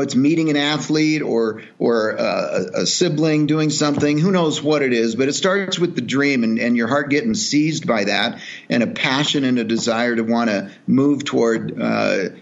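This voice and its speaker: A man's voice: medium pitch, highs cut off. A middle-aged man from El Salvador, a guest telling his story in an earnest, reflective way with many fillers and restarts. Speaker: podcast guest, charity executive. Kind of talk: relaxed storytelling interview.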